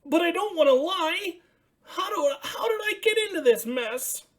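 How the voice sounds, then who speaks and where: A woman voicing an excitable, fretful young male puppet in a children's storybook, exclamatory and earnest. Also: extremely high pitch, a little slow, pitched above the performer's own voice. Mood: worried, earnest.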